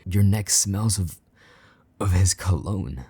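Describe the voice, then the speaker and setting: A man playing a possessive, condescending vampire knight in an intimate roleplay, taunting and commanding in a husky, breathy half-whisper. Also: sits low, lively intonation, takes it slowly, mellow, no room sound, heavily acted. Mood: jealous, disgusted. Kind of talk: intimate roleplay audio drama.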